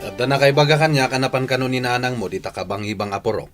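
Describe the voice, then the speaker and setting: A man's voice: medium pitch, fairly even intonation, fast, loud music behind the voice, lightly acted. A man playing a lazy, jealous village husband in a radio drama, with a scolding, demanding manner. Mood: resentful, blunt. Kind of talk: Ilocano radio drama acting.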